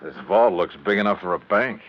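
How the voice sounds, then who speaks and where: A man's voice: on the low side, brisk, a little hiss or hum, close to an everyday voice. An actor playing a wry hard-boiled insurance investigator, narrating his case in punchy, colloquial radio drama style. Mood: wry, inquisitive. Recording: muffled old radio recording.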